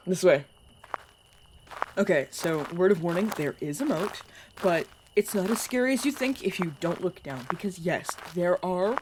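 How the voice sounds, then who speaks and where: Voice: mid-range voice, background noise, lightly acted. A performer playing an awkward, friendly young vampire guide in an audio roleplay, rambling and self-deprecating. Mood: eager welcome. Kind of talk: narrative audio roleplay acting.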